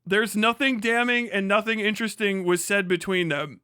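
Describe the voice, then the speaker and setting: American guy streamer reacting to an article, pretty high voice, super dry close sound, swears a lot. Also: steady tone, normal speed, sharp tone, clean tone, no room sound. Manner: sweary, incredulous, breaking off into asides. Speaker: livestream host. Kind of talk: casual livestream reaction, reading an article aloud with commentary.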